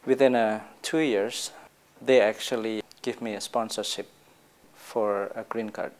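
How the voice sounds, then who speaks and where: Man, 30s, monotone, fry in the voice, hesitant interview. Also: fairly low pitch, measured pace. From Indonesia. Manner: hesitant, many fillers. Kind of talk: casual interview answers.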